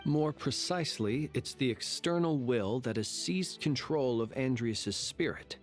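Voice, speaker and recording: A man's voice: mid-range voice, expressive, measured pace, natural voice. A man voicing an exacting, solemn expert in a video game, precise and formal. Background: music.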